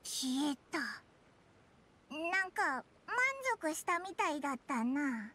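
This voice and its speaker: A tiny floating fairy kid from an anime game. The voice is extremely high, squeaky and bright, swinging up and down with blunt, naive excitement. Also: female voice, takes it slowly, full character voice. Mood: bewildered. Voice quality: squeaky, childlike. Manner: blunt, boyish, excitable speech. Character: small floating fairy child companion, excitable, cheeky, naive. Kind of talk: Japanese anime-style game acting.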